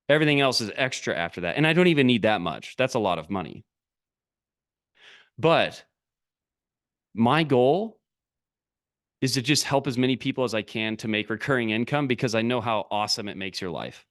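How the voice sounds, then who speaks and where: A man's voice: mid-range voice, animated, moderate pace, long pauses, fairly bright tone. A middle-aged man coaching a group over a video call, talking bluntly in short, punchy, repeated sentences. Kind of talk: motivational talk on a live video call.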